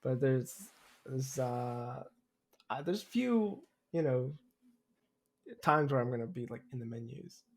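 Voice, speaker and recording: A man's voice: medium pitch, expressive, slow pace, pauses often. A young man co-hosting a podcast, chatting casually in a rambling, hesitant way full of hedges. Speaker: podcast co-host. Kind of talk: casual chat.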